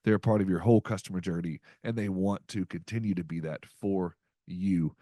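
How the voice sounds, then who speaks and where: A man's voice: low voice, fairly even intonation, a little fast, warm tone, dry recording, clean studio sound. A man hosting a podcast, chatting casually in an upbeat, folksy way with lots of fillers. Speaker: podcast host. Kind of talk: casual podcast chat with ad reads.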